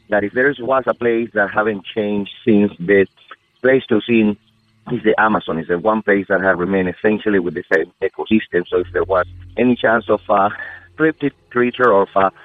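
A man's voice: fairly low pitch, dry recording. A man from Venezuela with a Venezuelan Spanish accent, a caller earnestly telling a story in a conversational way over the phone. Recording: tinny phone line.

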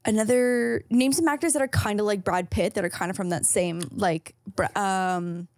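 A woman's voice: mid-range voice, steady tone, normal speed, darkish tone, dry recording. A woman co-hosting a podcast, chatting casually and playfully, often giggling and swearing. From Canada. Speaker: podcast co-host. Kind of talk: casual chat.

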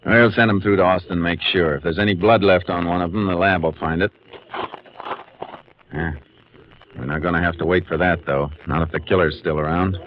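Actor as low-voiced, matter-of-fact Western lawman, old radio. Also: steady tone, normal speed, close to an everyday voice. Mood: businesslike, probing. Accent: American, Western. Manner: clipped, matter-of-fact, businesslike. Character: calm, methodical Texas Ranger lawman, grown man. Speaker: lead actor in a radio drama. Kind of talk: 1950s radio western acting and narration. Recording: muffled, noisy 1950s radio recording.

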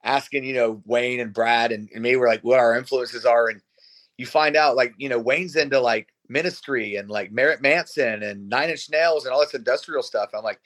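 A man's voice: medium pitch, moderate pace, fairly bright tone. Man around forty, casual rock singer. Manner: informal, swearing. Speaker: rock band singer, interview guest. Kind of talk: casual chat.

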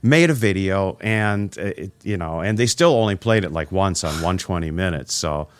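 A man's voice: low voice, lively intonation, moderate pace, no room sound. A middle-aged man hosting a radio interview, chatting casually and rambling through nostalgic stories. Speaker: radio host.

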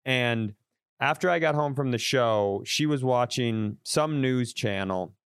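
A man tells a story in casual podcast chat, animated and quick to swear, often breaking off and restarting his sentences. His voice sits mid-pitch and sounds dry and close, with no room around it. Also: clean tone, no echo. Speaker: comedian podcast host.

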